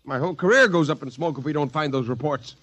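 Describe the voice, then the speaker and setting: A man's voice: fairly high pitch, fast, fairly bright tone, lightly acted. An actor playing a stern, exasperated middle-aged American father and army man in a 1950s radio drama. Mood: frantic, angry. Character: stern, agitated father, career army officer.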